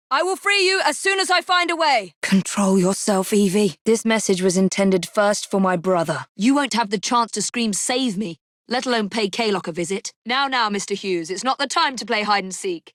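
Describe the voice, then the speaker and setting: Game casting: actress as female Victorian assassin, young, English, poised and crisp, resolute temper, close dry recording. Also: mid-range voice, no echo, lightly acted. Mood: urgent, alarmed. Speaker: video game voice actor. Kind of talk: scripted game character dialogue.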